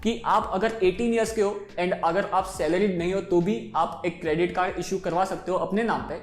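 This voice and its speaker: A man's voice: high-pitched, steady tone, moderate pace. A man in his twenties with an Indian accent, explaining things informally and directly to viewers as a finance show host, switching between two languages. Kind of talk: explainer to camera, mixing Hindi and English. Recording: roomy echo, faint music behind.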